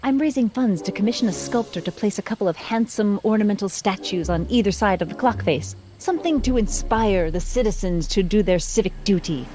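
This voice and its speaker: A woman's voice: mid-range voice, brisk, hardly any pauses, mellow, lightly acted. An actress playing a prim, priggish female small-town reporter in a video game, formal and haughty in manner. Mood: civic-minded. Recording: music under the voice.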